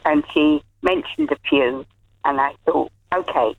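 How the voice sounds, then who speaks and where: Woman, radio caller, hesitant and halting, thin phone-line sound. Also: sits low, big pitch swings, gravelly. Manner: hesitant, many ums and restarts. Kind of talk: phone-in chat. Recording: tinny dry phone line.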